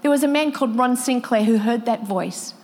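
A woman's voice: on the high side, a little fast, darkish tone. A woman preaching a sermon, telling stories with emotion and building intensity as she quotes others. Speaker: pastor. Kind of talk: sermon storytelling. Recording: long hall reverb.

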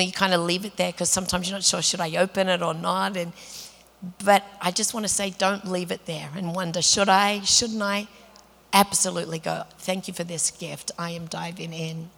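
A woman with a slightly raspy voice, leading a women's church gathering in prayer and casual sermon talk, full of fillers and restarts. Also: lowish voice, big-room sound. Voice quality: raspy today. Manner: warm, chatty, many fillers and restarts. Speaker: women's ministry leader.

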